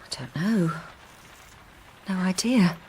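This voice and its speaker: A woman's voice: fairly low pitch, expressive, slow pace, darkish tone, slight background noise. A woman playing a timid, anxious wife in a radio drama, speaking hesitantly with stammering restarts, both in dialogue and intimate narration. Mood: flustered, hesitant. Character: anxious, timid wife who has turned invisible.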